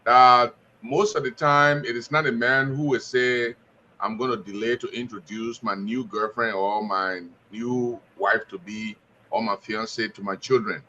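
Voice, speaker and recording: A man's voice: medium pitch, steady tone, measured pace, bright, forceful tone, dull audio, a little hiss or hum. A man on a radio panel, confidently giving his opinions and telling stories with quoted dialogue. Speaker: studio guest panelist. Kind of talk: opinion talk.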